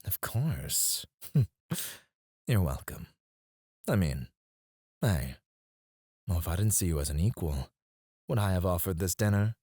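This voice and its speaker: A man's voice: low-pitched, very animated, warm tone, no echo, clean studio sound, heavily acted. A man playing an obsessive, possessive CEO in an ASMR roleplay, speaking in a smug, menacing and condescending way. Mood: courteous, probing. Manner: smooth-talking, condescending, drawn-out deliberate phrasing.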